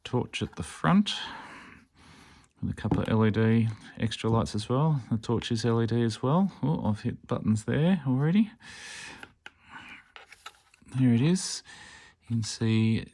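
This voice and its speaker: A man's voice: mid-range voice, animated, unhurried, pauses often, darkish tone. A man casually demonstrating a gadget hands-on, explaining it step by step in a matter-of-fact way. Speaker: amateur radio reviewer and channel host.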